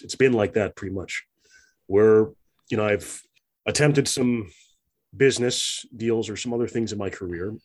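A man with a fairly low voice talks in a sit-down interview. He reflects slowly and often restarts his sentences, reaching for the right words. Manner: reflective, hesitant, many fillers and restarts. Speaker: interview guest. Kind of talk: conversational interview.

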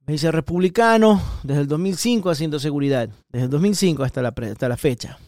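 A middle-aged man from Ecuador with an Ecuadorian Spanish accent, hosting an opinionated political podcast in an emphatic, repetitive way with sudden high stresses. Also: quick pace, a little mellow, dry recording, studio quality. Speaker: podcast host.

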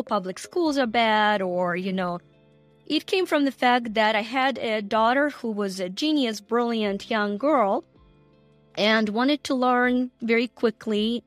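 A woman's voice: on the high side, measured pace, faint music behind. A middle-aged woman from Ukraine with a Ukrainian accent, telling her personal story emphatically as an interview guest. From Ukraine, former Soviet Union. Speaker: guest, entrepreneur and coach.

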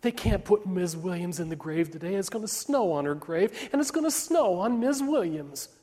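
A man's voice: high voice, lively intonation, moderate pace, pitched above the performer's own voice. A man voicing a sensitive young girl, earnest and anxious, with a plaintive, simple manner, in live dramatic storytelling. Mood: grieving, pleading. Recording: long hall reverb.

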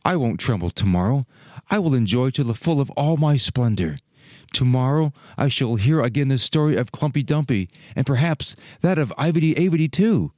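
A man's voice: mid-range voice, animated, normal speed, darkish tone, no room sound, clean studio sound, close to an everyday voice. A man voicing a naive, vain and wistful young fir tree in a children's storybook, musing aloud. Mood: hopeful, musing. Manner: musing aloud in rhetorical questions. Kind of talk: dramatized children's storybook reading.

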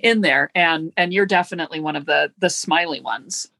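A woman host speaking thoughtfully, with halting starts and gentle self-deprecation, in a conversational interview. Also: low-pitched, vocal fry, dry recording.

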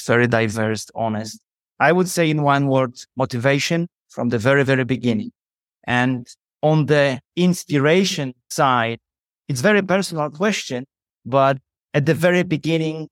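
A man's voice: medium pitch, no echo, studio quality. A man with a Polish accent, a native Polish speaker, answering interview questions as a company head in an earnest, motivational way. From Poland. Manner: earnest, motivational, slightly non-native phrasing. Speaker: CEO guest.

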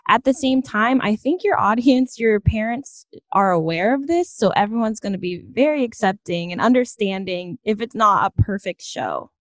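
A woman with an average-pitched voice hosts an interview. She talks informally and conversationally, and the sound is dry with very little room echo. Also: animated, moderate pace, few pauses. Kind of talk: casual interview chat.

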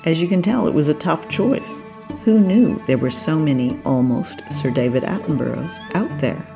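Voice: mid-range voice, lively intonation, moderate pace, gravelly, music in the background. A presenter giving scripted narration that introduces a series of auditions.

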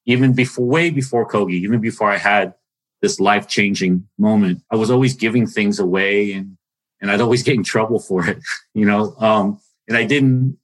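A man's voice: on the low side, a bit bright, poor audio. A man who is a chef, speaking earnestly on a panel and often restarting his sentences. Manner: earnest, heartfelt, repeats himself when starting sentences. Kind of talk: panel discussion.

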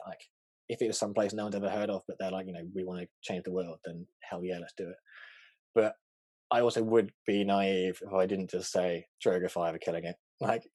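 A young man from England with a neutral English accent, a fast-talking, chatty interview guest on a video call, full of fillers and quick laughs. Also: lowish voice, a little mellow, no room sound. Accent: English, neutral southern. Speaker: interview guest, art director.

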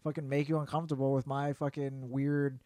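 A man talking casually as a podcast co-host, informal and halting, with some swearing. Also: mid-range voice, little pitch movement, warm tone, dry recording. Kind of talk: casual conversational banter.

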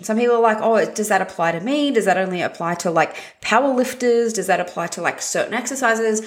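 Hoarse, husky woman hosting a casual fitness podcast from home; upbeat and blunt. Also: mid-range voice. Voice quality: raspy, husky. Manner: upbeat, direct, swears casually. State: hoarse from a chest infection. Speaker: podcast host and fitness coach.

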